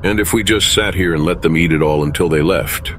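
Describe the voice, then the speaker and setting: A man acting in an audio drama as a calm, principled starship captain, measured and authoritative, with rumble behind him. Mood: thoughtful, decisive. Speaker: lead actor playing a starship captain.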